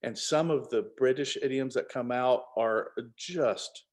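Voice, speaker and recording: Male author as interview guest, mid-pitched, emphatic and jokey, talkative. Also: a little slow. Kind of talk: casual interview chat.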